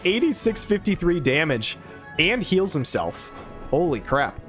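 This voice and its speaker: Hoarse man casting a game, slightly high voice. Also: over music. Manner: excited, quick play-by-play with exclamations. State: hoarse, recovering from a cold. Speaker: game caster. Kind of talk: play-by-play commentary. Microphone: headset.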